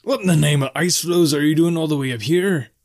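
A man's voice: fairly high pitch, fairly quick, darkish tone, pitched above the performer's own voice, natural voice. A man voicing a friendly, stout northern bartender in a fantasy audiobook, with a jovial, hospitable manner. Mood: amused, surprised. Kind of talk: fantasy audiobook character dialogue.

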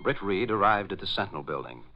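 A man's voice: sits low, animated, quick pace, faint music behind. An American man announcing and narrating in scripted 1940s radio style. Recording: dull old radio broadcast.

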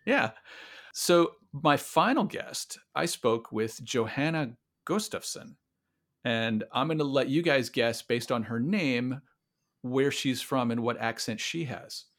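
A man with a plain, flat Midwestern accent hosts in a relaxed, friendly tone. His voice sits mid-range, and he talks casually with a few fillers. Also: mid-range voice, takes it slowly. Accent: Midwestern American. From Iowa. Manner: friendly, easygoing, uses fillers. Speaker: podcast host. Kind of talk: casual chat and interview.

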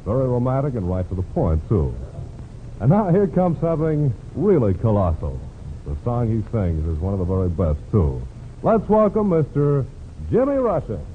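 Male 1940s radio host, very animated intonation, playful jive rhymes, showy delivery, phone-thin vintage broadcast. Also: mid-range voice, normal speed, narrow, tinny audio, a little hiss or hum. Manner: showy, playful rhyming patter. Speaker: radio show host, master of ceremonies. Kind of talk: 1940s radio emcee patter in jive slang.

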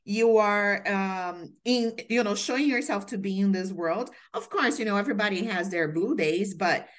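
A woman's voice: mid-range voice, normal speed. A Brazilian woman, Portuguese her first language, hosting a podcast interview in chatty, filler-heavy conversation. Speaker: podcast host. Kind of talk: casual interview chat.

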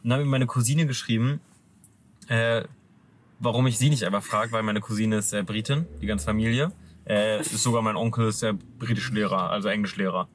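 A man's voice: fairly low pitch, fairly even intonation, brisk, a little hiss or hum. A young man hosting a casual podcast from a parked car, teasing his guest and swearing freely. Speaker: podcast host.

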